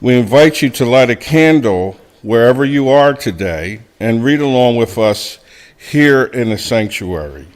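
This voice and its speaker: Slow, measured man reading liturgy. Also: medium pitch, expressive. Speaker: service co-leader. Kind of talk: reading call to worship. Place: church sanctuary.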